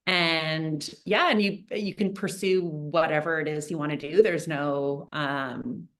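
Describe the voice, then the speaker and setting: A woman's voice: low voice, fairly even intonation, unhurried, a bit bright. A young adult woman from Canada, a guest artist talking casually in an interview, with many fillers and restarts.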